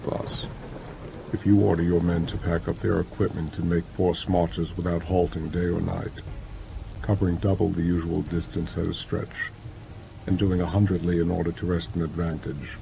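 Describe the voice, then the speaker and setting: A man reads as an audiobook narrator in a measured, solemn way, phrase by phrase, in a dramatized scripted style. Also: sits low, flat delivery, gravelly, noisy background. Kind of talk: dramatized scripted narration of an ancient military treatise. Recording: music and rain behind the voice. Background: background music with falling rain.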